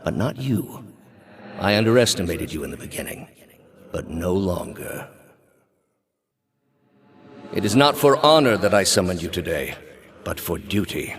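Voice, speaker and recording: A man playing a stern, zealous high priest and inquisitor in a video game, speaking in a measured, formal, quietly menacing way. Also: expressive. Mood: solemn, commanding. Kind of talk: dramatic video game character dialogue.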